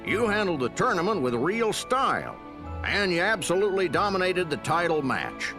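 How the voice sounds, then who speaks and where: Excitable high pitched fanboy in a game, theatrical, gushy, music under it. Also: male voice, moderate pace. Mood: gushing. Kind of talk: video game cutscene acting. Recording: music behind the voice.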